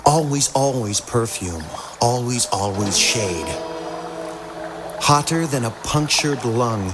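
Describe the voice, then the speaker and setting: A man reciting surreal first-person poetry in a deliberate, unhurried way. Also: mid-range voice. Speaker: poetry reader. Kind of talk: spoken-word poetry reading. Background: music soundscape.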